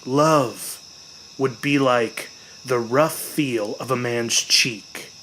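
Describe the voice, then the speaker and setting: A man narrating a horror story in a dramatic, deliberate, suspenseful way, with weighted pauses. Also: mid-range voice, pushed and bright, slight background noise, low-quality recording. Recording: music bed behind the voice. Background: clearly audible music.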